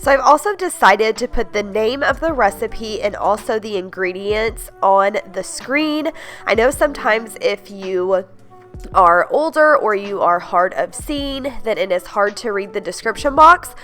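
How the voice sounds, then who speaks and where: A young woman talks through her weekly dinners, her voice thick and nasal from a stuffed-up nose. She is chatty and enthusiastic, peppering her lines with "like" and "you guys", at an everyday mid pitch. Faint music plays quietly behind her. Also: lively intonation, a little slow. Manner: upbeat, gushing, many "like"s and "you guys". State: congested, stuffed-up voice. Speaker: home cooking vlogger. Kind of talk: casual chatty recipe voice-over.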